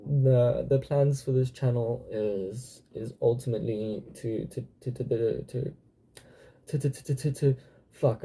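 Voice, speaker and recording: A young South African man in his twenties, speaking in a dark, gravelly voice with a husky edge. He talks to camera haltingly, full of ums and restarts, with the odd curse thrown in. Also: medium pitch. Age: 20s. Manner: hesitant, many fillers and restarts, occasional swearing. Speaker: channel host. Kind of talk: casual personal vlog.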